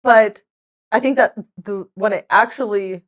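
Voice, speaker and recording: A woman whose first language is Hebrew, a podcast guest telling stories in a lively, chatty way and laughing often. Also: medium pitch, a little slow. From Israel. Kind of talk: casual chat.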